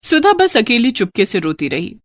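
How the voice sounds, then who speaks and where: A woman's voice: a little high, wildly swooping pitch, moderate pace, a little hiss or hum. A woman narrating a scripted moral story.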